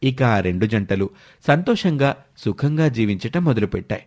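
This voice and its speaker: A man's voice: medium pitch, sing-song, moderate pace, darkish tone. A man narrating a scripted moral story as its storyteller.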